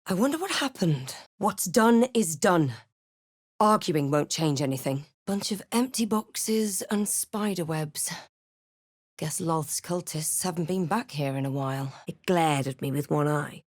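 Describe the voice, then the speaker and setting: A wary, snarky female adventurer from a fantasy game, her voice on the low side. She delivers lines with measured, dry drama, recorded close and dry. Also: lively intonation, no room sound. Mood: wary, wry. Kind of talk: video game character lines and narration.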